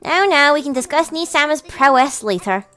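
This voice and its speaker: A prim young lady, played by a woman, the doting little sister of a visual novel. The voice is high and lilting, composed but teasing and sweetly scolding. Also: lively intonation, normal speed, a bit bright, soft background music.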